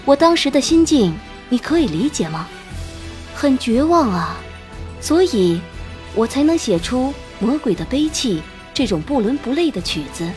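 A woman voicing a cool, weary young woman composer in an audiobook, calm, dismissive and softly sarcastic. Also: high-pitched, normal speed, faint music behind. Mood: low, bitter.

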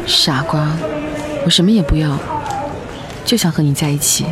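A woman's voice: low-pitched, expressive, moderate pace, crowd noise. An actress in a radio drama playing a devoted young girlfriend, speaking in a tender, pleading way. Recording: music behind the voice. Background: background music.

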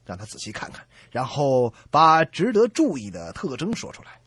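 A man's voice: medium pitch, wildly swooping pitch, moderate pace, a bit bright, slightly rough, no echo. A man co-hosting a comedy radio show, telling jokes in playful banter with a partner. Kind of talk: animated joke-telling banter.

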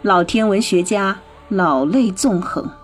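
A woman narrating a scripted radio drama in a clearly phrased storytelling manner. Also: mid-range voice, takes it slowly. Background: music.

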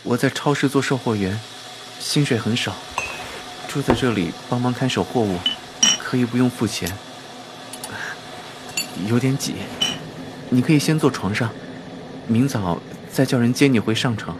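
A man's voice: medium pitch, normal speed, pauses often, heavy background noise, natural voice. A man acting in a radio drama as a meek, poor young shop clerk with a hesitant, self-effacing way of talking. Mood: gentle, matter-of-fact.

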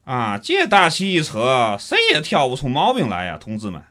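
A man's voice: mid-range voice, wildly swooping pitch, normal speed, sharp tone. A man with a Tianjin accent acting a grumbling, pompous city schemer in a comic audio drama, theatrical and colloquial. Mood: pompous, scheming.

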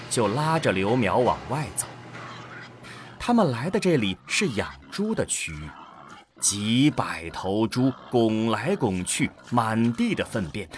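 A man with a husky voice narrating a scripted science-fiction audio drama. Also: medium pitch, slight background noise.

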